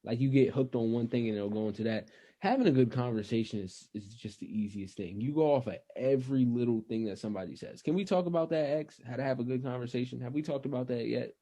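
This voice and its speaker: A man's voice: medium pitch, fast talker, mellow, no echo. A young man hosting a casual podcast, asking questions and talking at length in a loose, drawling way. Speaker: podcast host.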